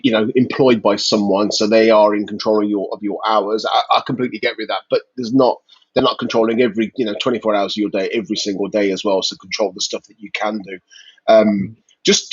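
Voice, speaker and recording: A man's voice: fairly low pitch, husky, no echo. A man hosting a casual live-stream interview, upbeat and chatty, encouraging his guest. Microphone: video call. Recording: dry, dull video-call audio.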